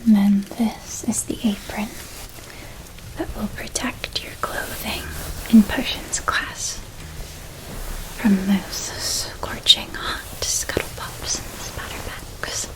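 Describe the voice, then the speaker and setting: A woman playing a gentle, attentive witch-school shopkeeper in an ASMR roleplay, speaking in a soft, breathy, soothing whisper. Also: mid-range voice, fairly even intonation, takes it slowly, lightly acted, poor audio.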